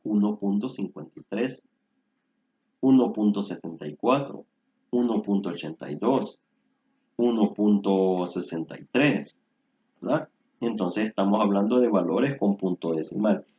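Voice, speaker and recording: Guy tutoring stats over a call, low husky voice, kinda muffled lo-fi audio. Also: darkish tone. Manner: explanatory, often tags "¿verdad?". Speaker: statistics tutor. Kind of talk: online tutoring lecture.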